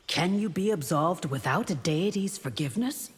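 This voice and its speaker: An actress playing a proud, loyal and devout royal bodyguard in a video game, composed, formal and stern. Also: female voice, fairly low pitch, expressive, moderate pace, breathy edge. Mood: composed, firm. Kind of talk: video game character acting. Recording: long reverb with faint noise.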